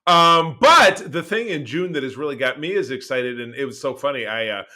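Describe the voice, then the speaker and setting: Bright-voiced excitable male podcast host. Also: medium pitch, normal speed. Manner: rambling, excitable, profane. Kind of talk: casual pop-culture podcast chat.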